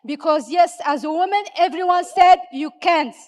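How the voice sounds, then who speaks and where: High-voiced woman from Morocco, echoing hall. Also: moderate pace, darkish tone. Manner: proud, spirited. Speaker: audience member. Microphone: handheld microphone. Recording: long hall reverb.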